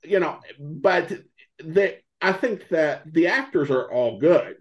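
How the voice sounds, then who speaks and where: A man in a casual interview as a podcast guest, talking haltingly and searching for his words. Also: fairly high pitch, lively intonation, lo-fi sound. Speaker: podcast guest, old-time radio podcaster.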